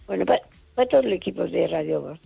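Gravelly older woman on a muffled phone line. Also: lowish voice, lively intonation, fast talker, no echo. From Madrid, Spain. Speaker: radio phone-in caller. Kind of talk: casual chat. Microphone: telephone. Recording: dull, muffled call-in line.

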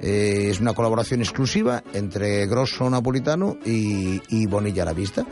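A man's voice: lowish voice, moderate pace, over music. A man hosting a radio show, chatting and reading news with many fillers and restarts. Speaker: radio host. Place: radio studio.

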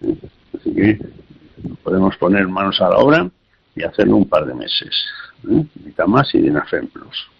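Low gravelly man giving radio advice. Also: sing-song, normal speed, long pauses, highs cut off. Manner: hesitant, repeats words, many fillers. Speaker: radio health advisor.

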